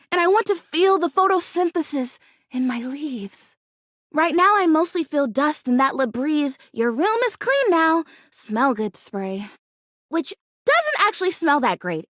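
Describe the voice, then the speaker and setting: A super high perky little houseplant girl for a video game, gushy and rambly, voice bouncing all over, dry close sound. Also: big pitch swings, measured pace, no echo. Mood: wistful, dismayed. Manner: bubbly, gushing, rambling, easily wounded. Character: perky, chatty young female plastic houseplant who longs to be real. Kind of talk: animated video game character acting.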